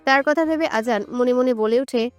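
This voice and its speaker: A woman's voice: high-pitched, moderate pace, clean tone, soft background music. A woman giving a scripted narration of a romantic story.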